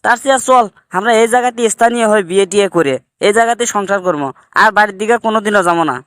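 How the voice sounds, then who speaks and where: A man's voice: high-pitched, very fast, fairly bright tone, smooth, no echo, studio quality. A man voicing a fed-up, scheming migrant worker in a comic cartoon, complaining emphatically in colloquial northern Bangladeshi dialect. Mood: resigned.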